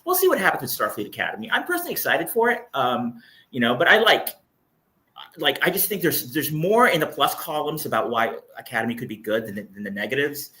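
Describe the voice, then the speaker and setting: Bright, crisp-toned man chatting casually. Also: medium pitch, sing-song, quick pace. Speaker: panel guest, entertainment journalist. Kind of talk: casual opinionated panel chat.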